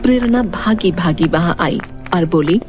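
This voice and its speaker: A woman narrating a scripted story in an expressive storytelling manner. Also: lowish voice, measured pace, faint music behind.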